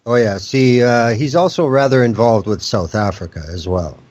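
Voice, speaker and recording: A man's voice: medium pitch, moderate pace, darkish tone, highs cut off. A man on a political talk show who makes dry, wry remarks. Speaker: podcast co-host. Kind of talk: casual political discussion.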